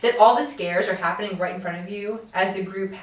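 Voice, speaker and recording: A woman's voice: medium pitch, a little fast. Young woman storyteller, echoey and distant. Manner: animated storytelling, many fillers. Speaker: podcast co-host. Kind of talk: casual chat. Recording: echoey room, voice off-mic.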